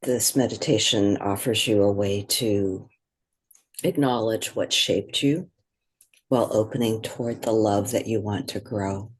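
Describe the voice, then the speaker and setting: A husky, breathy voice leads a guided meditation at a very slow pace. The delivery is gentle and measured, with long pauses between short phrases of instruction. The pitch sits in a middle range. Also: fairly even intonation.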